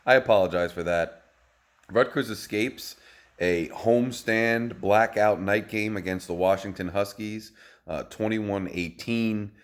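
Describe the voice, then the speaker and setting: A man with a hoarse voice hosting a casual postgame football recap, rambling and enthusiastic, recorded on a phone. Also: on the low side, measured pace. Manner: rambling, enthusiastic, many fillers. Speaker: host. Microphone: phone video.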